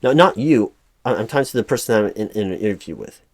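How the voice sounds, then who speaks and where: A man acts out a flustered corporate recruiting manager with a lowish voice. He is officious and monotonous, stammering and restarting as his frustration shows. The sound is dry and close, in the manner of a spoken audio roleplay. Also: quick pace, no echo. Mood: frustrated, insistent. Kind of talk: one-sided ASMR roleplay, loud spoken.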